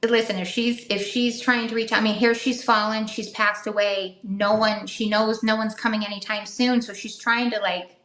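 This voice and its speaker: A woman's voice: mid-range voice, flat delivery, normal speed, hardly any pauses, fairly bright tone. A woman co-hosting a podcast, telling stories in a casual, chatty, rambling way. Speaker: podcast co-host. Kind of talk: casual storytelling chat about the paranormal. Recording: echoey room.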